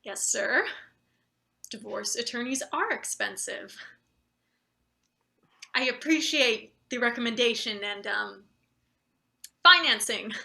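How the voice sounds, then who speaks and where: Nervous, fawning junior executive, played by a woman, high creaky voice, stammering through stage satire. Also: sharp tone, exaggerated voice. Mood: flustered, fawning. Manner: halting, stammering, anxious. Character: flustered, deferential new boss fawning to superior on phone. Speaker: actor. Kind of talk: live table read of satirical play.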